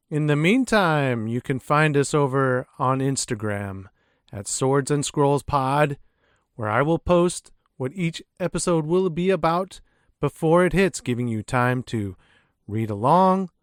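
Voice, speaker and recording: A man's voice: medium pitch, dry recording, studio quality. A man hosting a podcast, reading a scripted introduction with casual asides in a theatrical, playful storyteller's phrasing. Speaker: podcast host.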